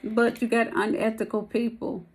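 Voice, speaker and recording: A woman's voice: on the high side, flat delivery, normal speed, a little hiss or hum, rough recording. Chatty woman losing her voice. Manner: rambling, slangy, calls listeners baby and y'all. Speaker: tarot reader. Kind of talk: casual spiritual tarot reading.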